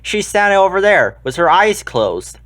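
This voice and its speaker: A man voicing an excitable, insistent young schoolboy in a children's storybook. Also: high-pitched, normal speed, no room sound, studio quality, voice pushed up. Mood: reassuring.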